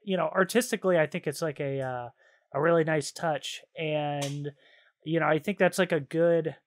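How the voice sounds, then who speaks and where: A man with a slightly high voice talks as a podcast co-host. He rambles enthusiastically in loose slang, as in a casual chat about games and shows. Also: moderate pace, a little hiss or hum.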